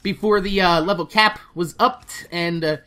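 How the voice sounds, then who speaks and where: A woman hosting a gaming video, giving casual, animated news commentary with informal talk and plenty of swearing. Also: fairly low pitch, normal speed.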